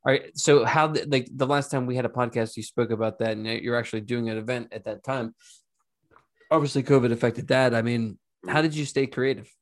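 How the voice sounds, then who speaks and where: A man's voice: mid-range voice, steady tone, fairly quick. A man hosting a podcast in a casual, slangy, informal way, laughing easily as he chats. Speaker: podcast host.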